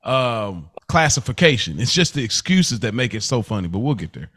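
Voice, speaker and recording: A man's voice: mid-range voice, big pitch swings, moderate pace, a bit bright, breathy edge. A man hosting a podcast in a casual chat about a TV show, speaking informally with plenty of slang. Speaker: podcast host. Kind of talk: casual chat reviewing a TV series.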